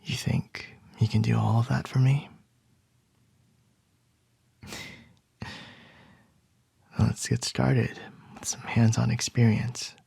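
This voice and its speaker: A controlling young CEO boss, as a man puts him on, talking close to the mic in a husky, gravelly murmur that often falls to a whisper. He takes his time over every word, and the sound is dry and intimate, with no room behind it. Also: little pitch movement, no room sound, exaggerated voice. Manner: soft low whispering, measured and domineering. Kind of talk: ASMR roleplay.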